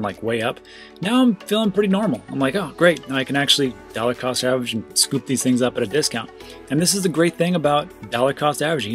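A man's voice: medium pitch, fairly bright tone. Upbeat chatty man hosting a crypto show. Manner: upbeat, chatty, repeats himself. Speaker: crypto news channel host. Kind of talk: casual market commentary.

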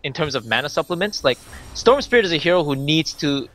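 Laughing, chatty young Canadian caster. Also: male voice, a little high, very animated, quick pace, a bit bright, low-quality recording. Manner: chatty, analytical, laughs often. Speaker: esports co-caster. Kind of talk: esports commentary.

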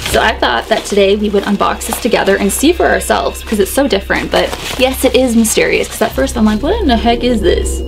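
A young woman in her twenties vlogging a chatty unboxing in a small bedroom, excitable and a bit hoarse as her voice fades. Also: mid-range voice, quick pace, hardly any pauses, music in the background, poor audio. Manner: excitable, chatty, gasps and laughs a lot. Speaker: YouTuber. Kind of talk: casual unboxing vlog. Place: small bedroom decorated with dolls.

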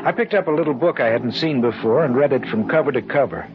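Actor as sober, uneasy man, medium pitch, vintage radio acting, muffled noisy recording. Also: quick pace, lightly acted. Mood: ominous, sober. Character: uneasy, sober man narrating his eerie ordeal. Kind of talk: 1940s radio acting, dramatic first-person narration.

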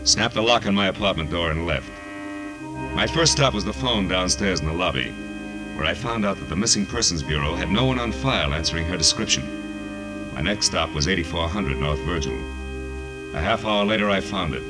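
A low-pitched, hard-boiled detective, with music prominent behind him. He is terse and sardonic, a tough wisecracker in a vintage 1940s radio noir drama. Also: expressive, fairly quick. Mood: brooding, wry.

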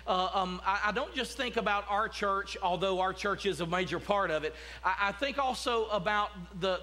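A man's voice: high-pitched, sharp tone. A man, a pastor preaching a sermon in a church sanctuary, talking conversationally with many restarts and asides. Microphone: podium mic, walking about the platform.

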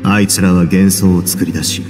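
Game role: male actor as composed man with a grim past, low and mellow, coolly matter-of-fact. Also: steady tone, faint music behind, natural voice. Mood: grim, restrained. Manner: measured, cool, matter-of-fact. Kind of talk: dramatic game dialogue, recounting his past.

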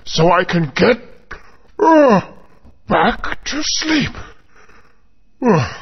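An actor playing a grumpy, sleepy old stone spirit with a gravelly, raspy voice and weary grumbling manner. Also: male voice, a little high, exaggerated voice. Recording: lo-fi game audio with long reverb.

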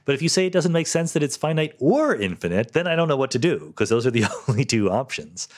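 A man's voice: mid-range voice, big pitch swings, fast. A middle-aged man hosting a podcast, answering listener questions in a casual, thoughtful way, often correcting himself mid-sentence. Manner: thoughtful, self-correcting, lightly laughing. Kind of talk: casual solo Q&A explanation.

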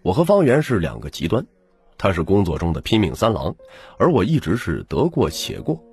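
A man with a husky voice narrating a novel in dramatic first-person storytelling as an audiobook reader. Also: low-pitched, wildly swooping pitch, a little fast, darkish tone. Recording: music bed under the narration. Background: background music.

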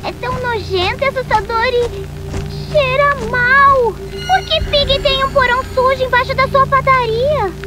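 A woman with a Brazilian accent voicing an excitable, curious young female unicorn in a cartoon dub, easily frightened. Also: very high voice, measured pace, low-quality recording. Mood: suspicious. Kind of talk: animated cartoon dubbing. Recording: loud music under the voice.

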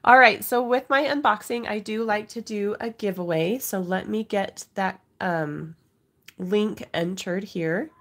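A woman's voice: mid-range voice, a little slow, fairly bright tone. A woman in her thirties hosting a casual live craft unboxing, stuffy from a cold and coughing now and then, chatty and apologetic. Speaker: crafting livestream host. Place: home craft desk.

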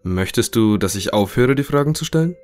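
A man's voice: medium pitch, lively intonation, a little fast, a little mellow, faint music behind, close to an everyday voice. A man playing a courteous, inquisitive scientist conducting an interview in an audio drama, matter-of-fact. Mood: probing.

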